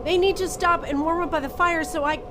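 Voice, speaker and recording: An actress playing a brusque, exasperated co-captain in an audio drama, curt, impatient and sarcastic. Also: female voice, extremely high pitch, fairly even intonation, brisk, noisy background. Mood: insistent.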